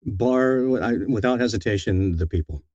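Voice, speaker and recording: A man speaking as a guest in a casual interview, in a halting, reflective and sincere way. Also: on the low side, darkish tone, no room sound, muffled recording. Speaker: guest, audiobook narrator.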